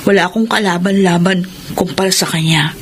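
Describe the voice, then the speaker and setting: A woman's voice: fairly low pitch, fairly even intonation, fairly quick, noisy background, natural voice. An actress playing a coy, scheming, lovestruck young provincial woman in a Filipino radio drama, with a Filipino accent.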